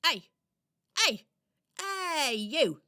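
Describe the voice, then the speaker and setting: Plucky, blustering garden robin, acted by a woman for children's radio drama: bright, loud, deliberate and brash, with a kind heart. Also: high voice, big pitch swings, full character voice. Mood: cheeky, challenging. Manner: brash, bossy, punchy.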